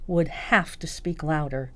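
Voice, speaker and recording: A woman's voice: low-pitched, measured pace, fairly bright tone. A woman reading a scripted first-person account in the style of an audiobook memoir.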